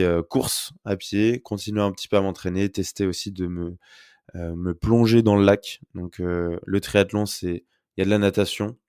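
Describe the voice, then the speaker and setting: A young man with a cold talks through his nose in a deep, low voice, his throat a little sore. He chats in an upbeat, rambling way full of fillers, like a host catching up with his listeners. The close mic sounds dry, with no room around him. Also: a little mellow, clear, resonant tone, no room sound. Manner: chatty and upbeat, many fillers. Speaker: podcast host. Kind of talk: casual solo podcast talk. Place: at home. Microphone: close podcast mic.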